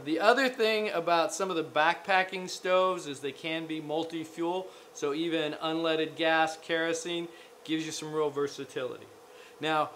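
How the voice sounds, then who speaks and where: A man in his forties hosting a casual how-to chat to the camera, relaxed and full of ums, talking with his hands. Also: high-pitched, slight background noise. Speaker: host. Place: indoor studio with gray backdrop and camping gear table.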